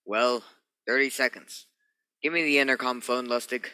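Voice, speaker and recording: An actor playing a firm spaceship captain in a science fiction radio play, giving orders with authority. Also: male voice, medium pitch, steady tone, moderate pace, sharp tone, clear, resonant tone, no echo. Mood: commanding.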